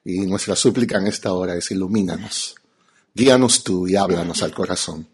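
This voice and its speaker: A man with a Dominican Spanish accent preaching and teaching as a pastor, emphatic, with rhetorical questions and deliberate pauses. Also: low-pitched, breathy edge. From Dominican Republic. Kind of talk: teaching sermon to an audience.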